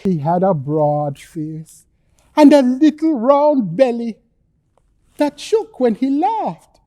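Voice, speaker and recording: A woman reading a bedtime story in verse with expressive, theatrical delivery. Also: fairly high pitch, slow pace, no room sound. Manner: expressive, dramatic.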